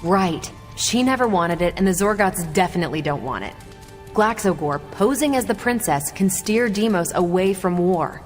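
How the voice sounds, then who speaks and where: A woman's voice: fairly low pitch, expressive, normal speed, soft background music, close to an everyday voice. A woman acting as a confident superhero in a video game cutscene, with an assured, explanatory and slightly teasing manner. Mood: assured, knowing.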